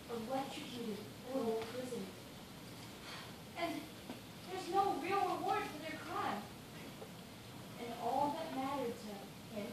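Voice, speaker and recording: Casting: polite, uneasy office woman, slightly tipsy played by a young actress, high lilting voice, distant stage recording. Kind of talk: amateur stage play acting. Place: theatre stage indoors. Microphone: distant audience camera. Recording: echoey noisy stage from afar.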